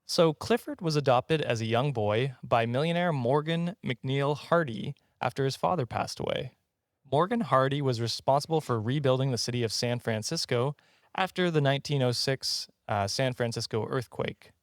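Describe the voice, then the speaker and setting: A man's voice: mid-range voice, moderate pace, no echo, clean studio sound. Jokey man hosting a casual podcast. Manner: jokey, self-deprecating, laughs often. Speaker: podcast host presenting a countdown. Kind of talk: casual comedic storytelling.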